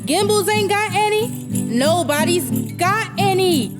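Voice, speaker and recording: A woman's voice: extremely high pitch, animated, a little slow, over loud music. A woman playing a brassy, blunt working-class city mother in a radio drama. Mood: exasperated. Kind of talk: old-time radio drama acting.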